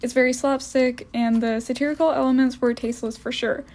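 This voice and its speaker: A woman's voice: high voice, fairly even intonation, a little fast, darkish tone. A young woman giving a casual, wry voice-over review of films for her art vlog. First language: English. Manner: wry, opinionated.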